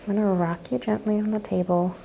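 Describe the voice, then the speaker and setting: Soft, creaky-voiced woman doing gentle ASMR. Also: medium pitch, level tone, a little hiss or hum, poor audio. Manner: gentle, unhurried, reassuring. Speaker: ASMR host role-playing a chiropractor. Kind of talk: ASMR role-play, soft-spoken with whispering.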